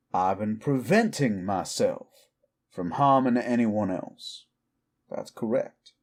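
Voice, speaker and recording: Slow, low-voiced cowboy outlaw, acted by a man. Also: a little mellow, slightly rough, heavily acted. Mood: sardonic, grave. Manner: slow, deliberate, goading, rhetorical questions. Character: cowboy outlaw werewolf, taunting, brooding, defiant. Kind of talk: intimate roleplay audio drama acting.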